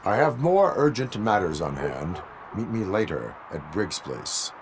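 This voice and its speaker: An actor playing a stern cyborg police officer who speaks in clipped, formal, emotionless lines. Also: male voice, low-pitched, very animated, a little slow, a little hiss or hum, poor audio. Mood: flat.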